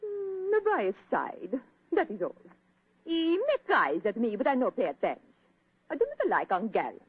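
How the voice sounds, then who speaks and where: An actress playing a vain, flamboyant opera soprano with an Italian accent in an old radio drama, theatrical and self-admiring. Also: female voice, on the high side, sing-song, moderate pace, husky, no room sound, full character voice. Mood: grand. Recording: thin phone-like old radio recording.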